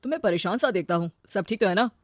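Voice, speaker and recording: A woman's voice: mid-range voice, animated, fast talker, no echo, clean studio sound, close to an everyday voice. A woman voicing a young male suitor and husband in a cartoon story, talking in an insistent manner. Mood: concerned, uneasy.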